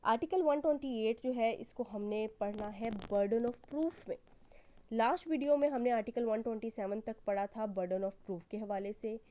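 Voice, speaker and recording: A woman's voice: fairly high pitch, normal speed, warm tone, poor audio. A woman with a Pakistani accent giving an explanatory law lecture in a didactic way, repeating key points and checking in as she goes. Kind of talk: explanatory lecture, Hindi-Urdu mixed with English.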